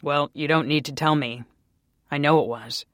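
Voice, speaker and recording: A man's voice: a little high, fairly even intonation, normal speed, dry recording, clean studio sound, close to an everyday voice. A reader voicing a frustrated male coworker who scolds and swears, his voice rising as he pushes harder. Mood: disappointed. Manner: scolding, swearing, growing louder.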